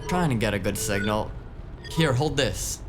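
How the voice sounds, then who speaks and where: A man's voice: on the low side, lively intonation, a little slow. Man playing grumbling sarcastic tech whiz, music underneath. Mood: distracted, flippant. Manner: sardonic, rambling, casual. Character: grumbling, foul-mouthed, sarcastic male tech whiz driver. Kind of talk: cyberpunk audio drama dialogue.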